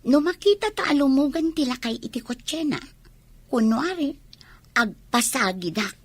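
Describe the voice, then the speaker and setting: Scheming young woman, Ilocano Filipino accent, high, very animated, brash, radio drama. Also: unhurried, slightly rough, no echo, heavily acted. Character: scheming poor young woman after a sugar daddy.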